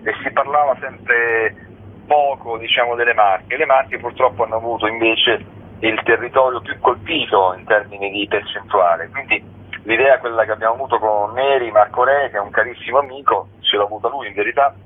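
A man's voice: medium pitch, steady tone, brisk, breathy edge. A man giving an informal interview as a guest, talking as a festival organiser. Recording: phone-like line with faint noise.

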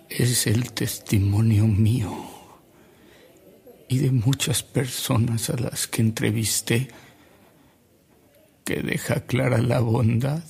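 An actor playing a devout, earnest older man in a Mexican radio drama, speaking solemnly and deliberately with dramatic pauses. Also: medium pitch, vocal fry, a little mellow, husky, exaggerated voice. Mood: earnest, moved. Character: frail, devout older man, a converted skeptic, reverent and earnest.

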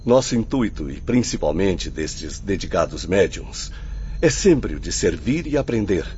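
A muffled radio drama features a kindly, earnest spirit mentor, acted by a man. His deep voice declaims solemnly, rising and falling widely. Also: big pitch swings, a little slow, darkish tone. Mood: earnest, uplifting. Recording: muffled, lightly noisy radio play audio.

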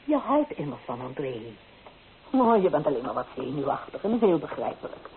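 A thin, hissy old radio recording carries a proper middle-aged mother with a lowish voice. She is genteel and practical, anxious underneath, soothing and admonishing by turns, in period radio-play style. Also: sing-song, moderate pace, narrow, tinny audio. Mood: concerned. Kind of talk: old Dutch radio drama acting.

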